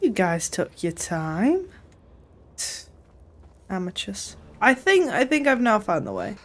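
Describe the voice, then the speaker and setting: Young female streamer, unhurried delivery, mid pitch, playful off-the-cuff gameplay chatter. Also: very animated, fairly bright tone. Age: young adult. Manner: playful, muttering to self. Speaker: game streamer. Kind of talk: casual gameplay commentary. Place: small room at home. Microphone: desk mic close up, headset worn.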